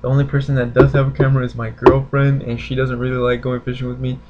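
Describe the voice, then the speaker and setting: A man's voice: mid-range voice, completely flat delivery, fast, lo-fi sound, heavy background noise. A young man chatting casually and informally to viewers as a laid-back vlogger showing new purchases. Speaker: fishing vlogger. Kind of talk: casual unboxing chat to viewers. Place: small bedroom, indoors at a desk.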